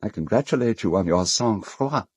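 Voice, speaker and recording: An actor with a British accent playing an urbane, cold, self-justifying gentleman in an audio drama, speaking in a measured, articulate, dryly ironic way. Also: lowish voice, animated, slightly rough, no echo. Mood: smooth, self-justifying.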